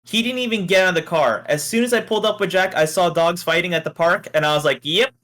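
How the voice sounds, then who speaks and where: A man's voice: sits high, fast, fairly bright tone. A man chatting casually on a podcast and telling everyday stories, with some casual swearing. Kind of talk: casual podcast chat and storytelling.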